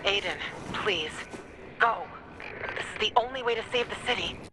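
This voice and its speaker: A woman's voice: medium pitch, normal speed, lightly acted. An actress playing a tough, brave young woman fighter in a video game, talking bluntly and urgently, with a noisy recording. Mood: determined. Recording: noisy game cutscene audio.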